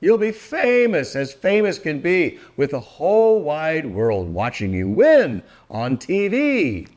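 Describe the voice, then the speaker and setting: Older man, very animated storybook reader. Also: fairly high pitch. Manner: theatrical, deliberate phrasing with drawn-out words. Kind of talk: expressive read-aloud of a rhyming children's book.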